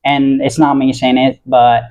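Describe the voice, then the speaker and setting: A man's voice: medium pitch, little pitch movement, measured pace, a little mellow. A young man with an American accent talking casually and earnestly to his viewers in a rambling, self-correcting monologue. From Italy. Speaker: YouTube vlogger.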